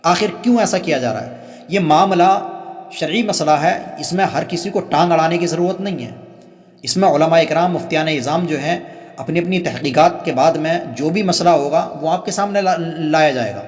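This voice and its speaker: Male religious commentator, fairly high voice in long reverb. Earnest, pleading, emphatic, repeats phrases. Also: normal speed. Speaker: religious commentator and channel host. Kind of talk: earnest spoken commentary to viewers.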